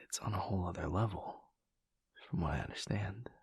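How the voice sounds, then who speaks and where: A man playing a gentle, earnest vampire lover in an intimate audio roleplay, speaking in a halting, stammering, breathy near-whisper. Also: dry recording, clean studio sound. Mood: thoughtful, hushed. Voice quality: breathy, gravelly low voice.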